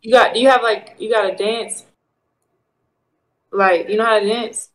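A woman's voice: on the high side, steady tone, normal speed, poor audio. Give me a blunt, unimpressed young woman in her twenties talking on a video call. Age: 20s. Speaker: online date contestant. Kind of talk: casual video call chat.

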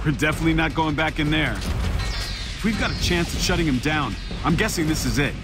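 Game sidekick for a man: excitable, questioning trooper, lively swings in pitch, big score behind. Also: fairly quick, close to an everyday voice. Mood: rattled. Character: jumpy, earnest younger male soldier sidekick. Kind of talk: video game action acting. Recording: loud game music and machinery.

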